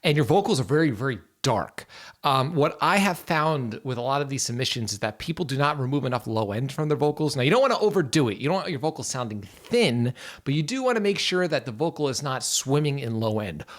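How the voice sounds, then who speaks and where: A man's voice: mid-range voice, sing-song, fairly quick. A man reviewing subscriber mixes, giving frank but encouraging feedback between listening to the tracks. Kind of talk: casual critique while listening to tracks.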